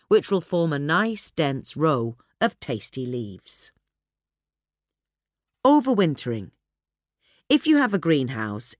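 A woman narrates a scripted gardening piece with plenty of rise and fall in her pitch. The sound is dry and close, with very little room around her. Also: a little mellow, no room sound. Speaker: article reader.

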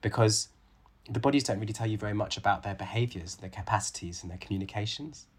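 Husky thirtysomething guy, lowish voice, explains stuff slowly, lots of uh and restarts. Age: 30s. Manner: thoughtful, many restarts and fillers. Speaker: guest, biologist and author. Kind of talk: conversational interview.

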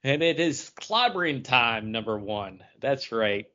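A man's voice: mid-range voice, very animated, unhurried, pushed and bright, muffled recording. A man co-hosting a podcast in casual chat, rambling and often repeating himself as he goes. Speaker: podcast co-host. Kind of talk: casual comic book chat.

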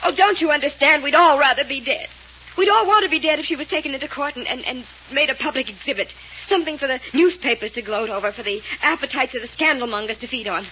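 A woman's voice: sits high, fast, bright, forceful tone. An actress playing a desperate, defiant young woman in a 1940s radio melodrama, proud and intense. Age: young adult. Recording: muffled old radio recording with noise.

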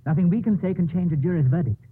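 An actor playing a calm, resigned gentleman in a 1950s radio drama. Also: high-pitched, quick pace, slightly rough. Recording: muffled old 1950s radio broadcast.

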